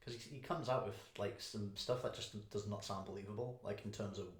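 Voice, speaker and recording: A man's voice: fairly low pitch, steady tone, a little fast. A man co-hosting a casual podcast, cracking dry, sarcastic jokes. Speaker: podcast co-host. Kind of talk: casual chat. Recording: echoey room.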